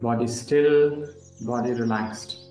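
A man leading a guided relaxation as a yoga instructor, speaking calmly in short instructional phrases with pauses. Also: mid-range voice. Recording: roomy echo with music underneath.

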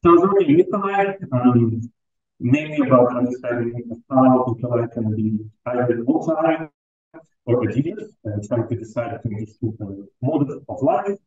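A man's voice: medium pitch, lively intonation, moderate pace, a little mellow, muffled recording. Man giving a casual science seminar. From Palestine. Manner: conversational, with frequent fillers and restarts. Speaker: research group leader giving a seminar. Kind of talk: academic seminar talk. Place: lecture room.

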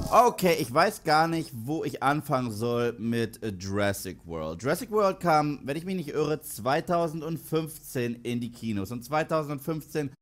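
Higher-pitched, lively male film presenter. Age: 30s. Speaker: online film critic and presenter. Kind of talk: scripted video commentary to camera. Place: indoor studio with dark backdrop.

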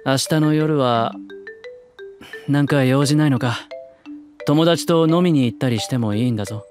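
Actor as cool, blunt, quietly tender man. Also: mid-range voice, moderate pace, faint music behind, lightly acted. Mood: gruff, teasing. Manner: gruff wording, understated, soft-spoken tenderness. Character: cool, blunt, secretly tender young male shrine warrior. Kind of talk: Japanese BL drama CD acting.

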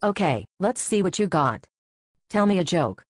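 A man putting on a high, bouncy voice for a blunt, skeptical male sidekick in a comedy bit. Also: sing-song. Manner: quick, blunt interjections.

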